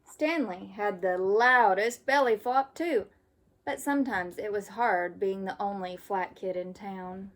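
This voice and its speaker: A woman reading a children's story aloud like a teacher, with expressive storytelling. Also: medium pitch, a little slow.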